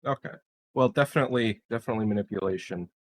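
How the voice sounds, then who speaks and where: A man's voice: fairly low pitch, fast talker, background noise. A man chatting as a player in a tabletop game, speaking informally with frequent hesitations. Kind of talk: casual game chat.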